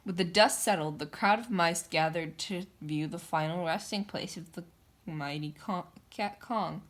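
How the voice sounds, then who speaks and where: A woman's voice: on the low side, unhurried. A woman reading a children's picture book aloud, narrating in a theatrical, emphatic way. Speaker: storybook reader. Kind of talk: dramatic children's story narration.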